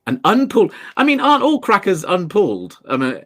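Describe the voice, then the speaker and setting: A man in his thirties hosting a comedy panel game over a video call from home, excitable and quick to laugh. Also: sits high. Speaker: game show host. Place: home room.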